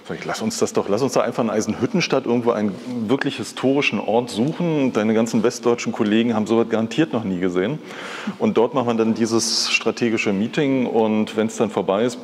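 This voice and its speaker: Man in his fifties, lowish voice, long hall reverb, conversational, digressive storytelling, East German background. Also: darkish tone. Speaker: interview guest, art patron. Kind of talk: reflective personal storytelling in a live talk. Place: large glass-fronted indoor hall.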